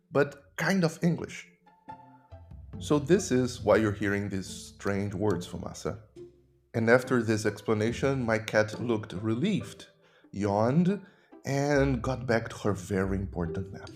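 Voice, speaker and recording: A man with a Brazilian accent, a native Portuguese speaker, hosting a podcast and explaining legal ideas in measured, teacherly narration. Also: medium pitch, a little mellow. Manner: measured, didactic. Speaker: podcast host and human rights lawyer.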